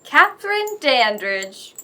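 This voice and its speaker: Chirpy, teasing chorus-line flirt, played by a woman; high and sharp-toned, for radio comedy. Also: big pitch swings, takes it slowly, exaggerated voice. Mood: flirty, chirpy. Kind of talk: radio play comedy acting.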